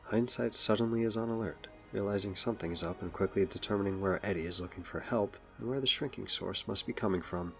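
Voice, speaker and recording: A man's voice: fairly low pitch, fast, gravelly, few pauses, a bit bright, faint music behind. A man narrating a scripted action story as the narrator of an audio drama.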